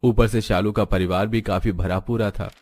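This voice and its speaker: A man's voice: on the low side, fairly even intonation, normal speed, a little mellow, no echo. A man narrating a scripted cartoon story.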